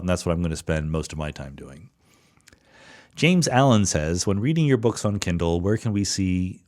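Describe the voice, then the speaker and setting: A middle-aged man with a somewhat low voice hosts his own podcast, working through listener questions on his own. He talks in a relaxed, thoughtful way, often pausing on fillers and restarting his sentences as he thinks aloud. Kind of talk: casual solo monologue.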